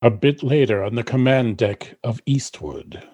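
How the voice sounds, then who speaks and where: An actor playing a grand, theatrical storyteller narrating a comedy space saga for radio. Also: male voice, medium pitch, a little slow, darkish tone, no echo, natural voice. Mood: grand, teasing.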